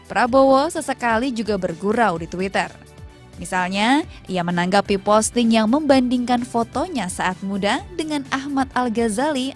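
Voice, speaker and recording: A woman reading a scripted news feature voice-over in an even, informative manner. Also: a little high. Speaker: news narrator. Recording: voice-over with faint music.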